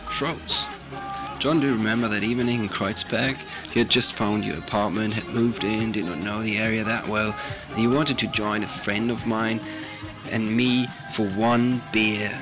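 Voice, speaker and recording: A man's voice: on the low side, fairly even intonation, normal speed, music in the background. A man playfully telling a fond anecdote as a farewell message to a friend.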